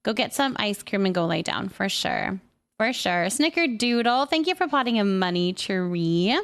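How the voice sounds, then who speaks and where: A woman's voice: medium pitch, expressive, normal speed. A woman hosting a casual livestream, chatting away as she reads and answers comments, often repeating her phrases in an affirming way. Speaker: livestream host.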